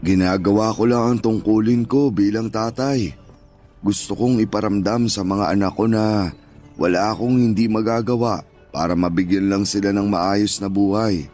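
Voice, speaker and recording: A man plays a humble older Filipino father who sells goods on the street. His voice sits low, and he gives advice gently and earnestly, in radio drama fashion, over a music bed. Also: normal speed, natural voice. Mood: earnest, reassuring.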